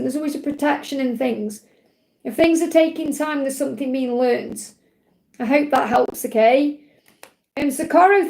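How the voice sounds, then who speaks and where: A woman hosting a live spiritual card reading, her voice croaky from overwork, reassuring and repeating her key phrases. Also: high-pitched, darkish tone, poor audio. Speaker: spiritual card reader, livestream host.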